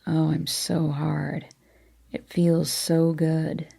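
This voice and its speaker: A young man murmuring softly and closely, low and gravelly in tone, as a woman narrator reads him. He talks slowly and stays hushed throughout. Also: little pitch movement, full character voice. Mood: intimate. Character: young adult man, tender and low-voiced.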